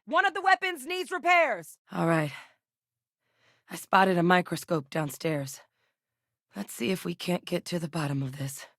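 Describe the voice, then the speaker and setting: An actress playing a composed, middle-aged female ex-soldier turned scientist in a game, talking in a measured, direct and dry way. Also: on the low side, dry recording. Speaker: game character voice. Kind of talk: recorded game voice lines. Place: studio booth.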